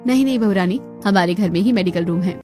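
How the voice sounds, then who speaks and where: A woman's voice: mid-range voice, quick pace, mellow, faint music behind, natural voice. A woman playing a polite, deferential palace servant who explains things formally in a Hindi moral-story cartoon. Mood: calm, informative.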